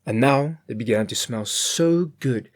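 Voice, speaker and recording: A storyteller reading a scripted fairy tale in an expressive, measured way. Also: medium pitch.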